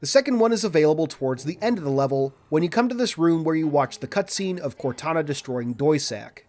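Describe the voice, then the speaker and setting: A man reading a scripted video game walkthrough in a measured, orderly, instructional way. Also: a little high, expressive, darkish tone. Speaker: video game guide narrator. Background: background music.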